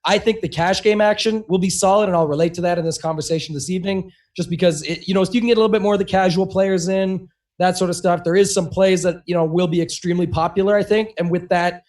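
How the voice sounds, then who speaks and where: A man co-hosting a casual sports podcast in a high voice, pushing his words out quickly. He sounds a little hoarse, as if losing his voice, and strings his sentences together with many "you know"s. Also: fairly even intonation, a little mellow. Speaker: podcast co-host.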